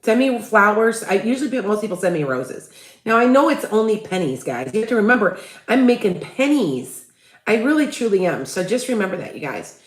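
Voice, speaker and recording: A woman's voice: medium pitch, very animated, a little fast, echoey room, poor audio. Rambling woman with a Chicago accent. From Midwest US. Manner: rambling, many restarts, asks viewers for tips. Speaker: psychic livestream host. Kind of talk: casual livestream chat.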